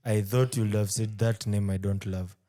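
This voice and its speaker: A woman's voice: very deep voice, steady tone, moderate pace, dark tone. A woman co-hosting a football podcast, teasing and dry in her interjections. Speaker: podcast co-host. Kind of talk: casual football podcast chat.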